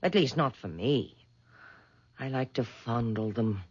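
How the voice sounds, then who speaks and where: An actress playing a frail, superstitious old lady in a radio drama, with a hesitant, coaxing manner and a husky, quavering voice. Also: extremely low pitch, expressive, unhurried, a little mellow, full character voice. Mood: wistful, frail. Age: older. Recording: dull vintage radio recording.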